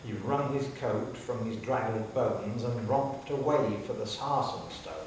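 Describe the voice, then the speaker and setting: A man's voice: medium pitch, a little slow, darkish tone. Male poetry reader, dramatic storytelling verse, distant microphone, heavy echo, faint background hiss. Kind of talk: dramatic narrative verse recital. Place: public hall. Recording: very echoey hall, distant mic, faint hiss.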